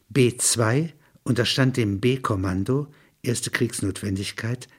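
A man's voice: medium pitch, husky. A man narrating a scripted documentary radio piece in a sober, clipped, report-like way.

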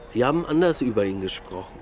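A man's voice: mid-range voice, animated, fast talker, faint music behind, lightly acted. An actor playing a melancholic middle-aged husband and father in a naturalistic radio drama, musing reflectively in short sentences. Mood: musing, unsettled. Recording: loud urban traffic ambience.

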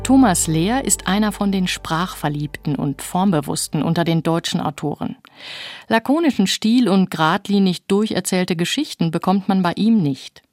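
A woman presenting a literary radio programme in an articulate, measured, scripted way, close to a studio microphone. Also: on the low side, darkish tone, dry recording, faint music behind. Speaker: radio host and interviewer.

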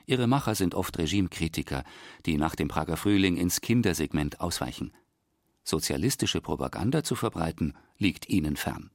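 A man reading scripted narration for a radio documentary, expressive and deliberate. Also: low voice, dry recording, studio quality.

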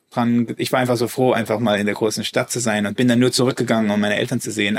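A man's voice: on the low side, gravelly. A man, a German native speaker and violinist, talking as a guest in a casual interview, hesitant with many restarts and fillers.

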